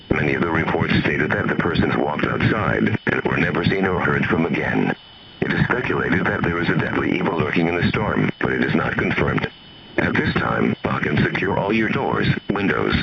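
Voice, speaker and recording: Heavy noise sits around a muffled broadcast of a male alert announcer. His voice is very deep and gravelly. He reads the emergency script in a formal, measured way. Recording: dull radio broadcast under loud noise.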